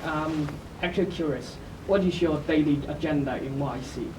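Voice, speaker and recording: Male questioner, highish voice, far from mic in an echoey hall. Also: moderate pace, noisy background. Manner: hesitant, with "um"s. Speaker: audience questioner.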